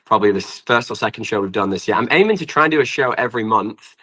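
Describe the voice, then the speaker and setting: Deep, bright-voiced young British man. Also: animated, very fast. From Britain. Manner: effusive, informal, hesitant phrasing with frequent "like". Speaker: musician, interview guest. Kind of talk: casual podcast interview chat.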